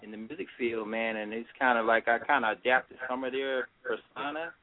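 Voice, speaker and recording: A middle-aged man from Washington, D.C., a guest musician telling his story in a relaxed, rambling way. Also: medium pitch, a little fast. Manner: relaxed, rambling, many fillers. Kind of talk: casual interview. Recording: phone-like line.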